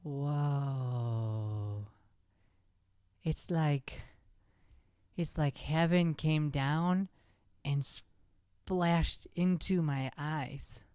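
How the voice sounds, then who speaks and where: Male actor as bashful Irish teen boy, radio play. Slow, halting stammer, smooth tone, dry sound. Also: a little high, a little mellow, no echo. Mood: bashful, earnest. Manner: stammering, flustered, hesitant. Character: shy, smitten fourteen-year-old Irish schoolboy. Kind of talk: improvised old-time radio comedy play.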